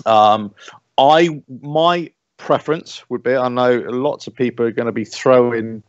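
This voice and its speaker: A man's voice: medium pitch, unhurried, no room sound. Bloke giving his football takes, lots of i think and restarts, dry dull-ish audio. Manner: opinionated, full of hedges and restarts. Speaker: fan panelist. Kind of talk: casual football discussion.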